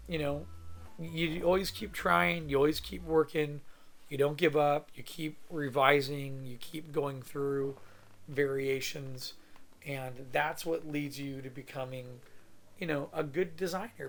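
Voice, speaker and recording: A man from California with a hoarse voice from a cold, thinking aloud in a rambling, casual way as he teaches a drawing demo in his home studio. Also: on the high side, fairly even intonation, a little slow, fairly bright tone. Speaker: art instructor.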